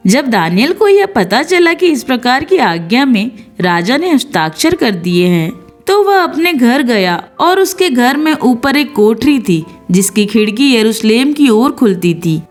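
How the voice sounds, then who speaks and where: A woman's voice: on the high side, sing-song, unhurried. A woman reading a scripted devotional Bible story in an earnest, storytelling manner. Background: background music.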